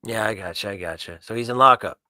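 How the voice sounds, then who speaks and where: A man's voice: lowish voice, fast, pushed and bright, clean studio sound. A man playing a tabletop roleplaying game with casual banter, in a roomy-sounding recording.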